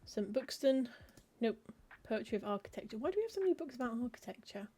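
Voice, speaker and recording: A woman's voice: on the high side, sing-song, quick pace, dark tone, slightly rough. A woman chatting casually as she plays a cozy game, muttering through lists of book titles with wry asides. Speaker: streamer playing a cozy game. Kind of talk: casual gameplay commentary, reading game text aloud.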